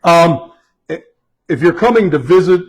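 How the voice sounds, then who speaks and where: A fire chief leading a group around a building indoors, speaking loudly in a casual, halting way with many fillers and restarts. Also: male voice, on the high side, expressive. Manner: loud voice. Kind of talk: casual spoken tour guiding.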